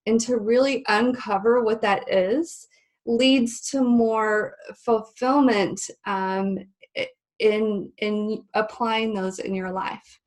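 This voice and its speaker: A woman's voice: mid-range voice, steady tone, takes it slowly. A woman hosting a webinar, a little stuffy and nasal, talking in a friendly, chatty way with many ums. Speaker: webinar host. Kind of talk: live webinar presentation. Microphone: video call.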